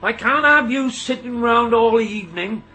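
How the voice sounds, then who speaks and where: A man's voice: extremely high pitch, normal speed, sharp tone, reverberant. An actor playing a middle-aged signalman and father, stern, brusque and harried, in a naturalistic radio drama. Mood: exasperated, stern. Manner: brusque, exasperated.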